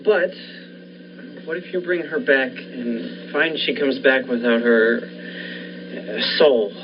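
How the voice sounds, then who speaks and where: A man's voice: mid-range voice, takes it slowly. Man playing an uneasy, doubting radio-thriller surgeon. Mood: hesitant, doubting. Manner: hesitant, doubting. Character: uneasy, loyal male surgeon friend with moral doubts. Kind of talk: 1940s radio thriller acting. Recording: dull lo-fi audio, faint noise.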